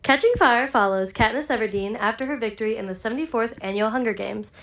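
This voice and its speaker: A woman's voice: on the high side, quick pace, a bit bright. A young woman with a stuffy, congested voice co-hosting a casual book club podcast, chatty and full of filler words. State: congested from a sinus infection. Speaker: podcast co-host.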